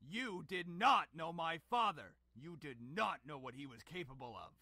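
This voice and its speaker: A man's voice: mid-range voice, animated, unhurried, lightly acted. A man playing a stern, contemptuous middle-aged father in a game, with a scolding, dismissive manner. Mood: furious. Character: stern, domineering, contemptuous father of a grown daughter. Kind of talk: dramatic game voice acting.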